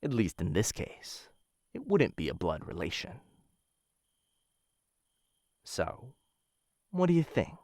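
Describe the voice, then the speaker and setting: A man playing a smitten, dangerous assassin of a noble house in an intimate boyfriend roleplay, teasing and coaxing with theatrical pauses. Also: lowish voice, wildly swooping pitch, vocal fry, a little mellow, no echo, clean studio sound, heavily acted. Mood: persuasive, playful.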